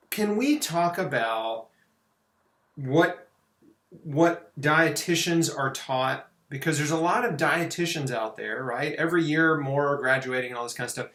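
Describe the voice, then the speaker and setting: A man hosting a casual interview, feeling his way haltingly into his questions. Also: a little high, fairly bright tone. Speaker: interview host. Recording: roomy, echoing sound.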